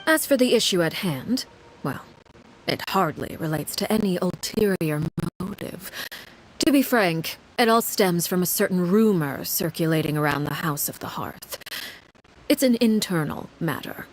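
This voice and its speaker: A woman playing a composed, commanding leader of a secretive organization in a video game, measured and formal. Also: lowish voice, animated, rough recording. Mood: calm, reassuring. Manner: measured, formal, quietly authoritative. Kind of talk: anime-style video game voice acting.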